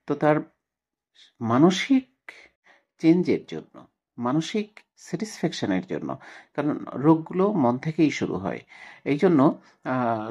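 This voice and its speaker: A man speaking as a teacher, reading a text aloud and explaining it with frequent hesitations and pauses. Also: mid-range voice, a little mellow, breathy edge, muffled recording. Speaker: Bengali literature teacher for school pupils.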